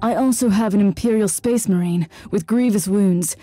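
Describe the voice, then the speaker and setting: An actress playing a resolute, dry-witted warrior inquisitor in a video game, composed and sardonic in manner. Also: female voice, mid-range voice, moderate pace, dark tone, close to an everyday voice. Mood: proud, composed.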